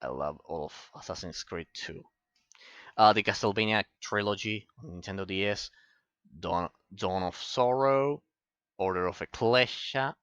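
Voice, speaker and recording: A man's voice: low-pitched, fairly bright tone, dry recording, lo-fi sound. A man with an Italian accent giving rambling, opinionated commentary on a game collection, with many restarts. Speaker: game reviewer. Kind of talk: casual commentary over game footage.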